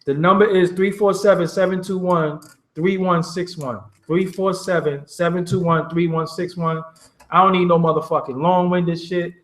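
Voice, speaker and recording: A man's voice: high voice, steady tone, moderate pace, a bit bright, reverberant. A man from Brooklyn, hosting a call-in show in blunt, profane casual talk.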